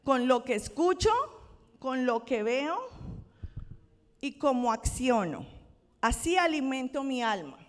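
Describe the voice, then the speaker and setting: Colombian woman pastor, high voice, conversational sermon, long church-hall reverb. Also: very animated, slow pace, pauses often. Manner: conversational, checks in with the congregation. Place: church sanctuary.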